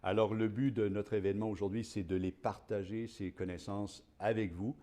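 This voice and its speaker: Un homme qui anime un webinaire en studio, chaleureux et hésitant, avec beaucoup de « euh ». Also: male voice, lowish voice, fairly even intonation, moderate pace, dark tone. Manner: friendly, many fillers. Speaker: webinar host. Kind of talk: hosting a live webinar.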